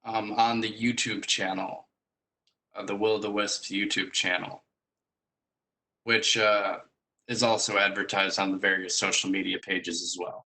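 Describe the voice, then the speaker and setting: A young man presents his student project in a semi-formal style. His voice is on the low side and bright, with very little rise and fall. He talks in a measured, hesitant way. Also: flat delivery, low-quality recording. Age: young adult.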